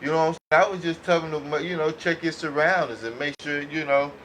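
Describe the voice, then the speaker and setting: Male American podcast co-host, fairly high voice, slangy and rambling, some noise behind him. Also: fairly even intonation, a little fast, fairly bright tone. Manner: rambling, slangy, repeats himself, acts out advice in dialogue. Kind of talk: casual podcast chat. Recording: noisy background.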